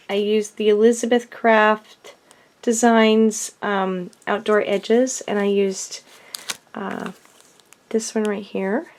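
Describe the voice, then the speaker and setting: Woman vlogger, hoarse from a cold, unhurried and chatty, mid pitch. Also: darkish tone, slight background noise. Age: adult. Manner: chatty, with frequent ums and self-corrections. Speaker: card-making vlogger. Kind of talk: casual craft show-and-tell.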